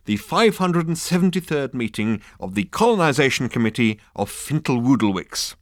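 A man's voice: mid-range voice, big pitch swings, normal speed, no room sound, clean studio sound, pitched above the performer's own voice, lightly acted. A man playing a genial, vague ship's captain in a comic storybook, affable and pleasantly dithering.

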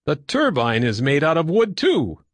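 A man's voice: a little high, wildly swooping pitch, unhurried, no room sound, voice pushed up, heavily acted. A man reading an audiobook as a practical, observant crewman, thoughtful and matter-of-fact in manner. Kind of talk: audiobook character dialogue.

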